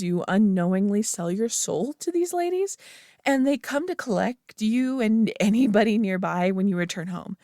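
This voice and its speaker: A woman's voice: fairly high pitch, darkish tone, no room sound, studio quality. A young woman voicing a nerdy friend who rambles eagerly about her tabletop hobby, full of "like" and giggles, in a scripted roleplay. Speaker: voice actor in an audio roleplay. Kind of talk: scripted roleplay monologue to the listener.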